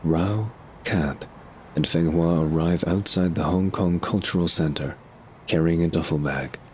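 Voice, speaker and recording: A man's voice: deep voice, fairly even intonation, unhurried, a little mellow, slight background noise. A man reading scripted narration for an audio drama.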